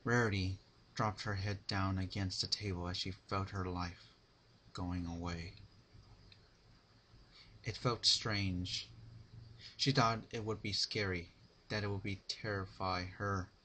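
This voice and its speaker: A man's voice: low voice, steady tone, slow pace, pauses often, bright, forceful tone. A man narrating a dramatic reading of fan fiction, with some faint noise in the recording.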